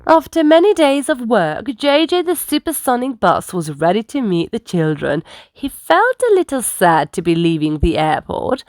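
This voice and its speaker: Female storyteller for children's picture book: expressive, carefully phrased, very lively intonation, dry close recording. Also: a little high, normal speed, no echo. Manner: careful, animated phrasing for young listeners. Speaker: storyteller reading aloud.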